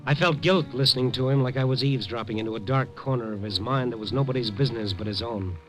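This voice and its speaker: An actor playing a steady, wry newspaper reporter who narrates, in a 1950s radio drama. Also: male voice, mid-range voice, natural voice, low-quality recording. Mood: reflective. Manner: calm, wry, measured. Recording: old radio broadcast with music.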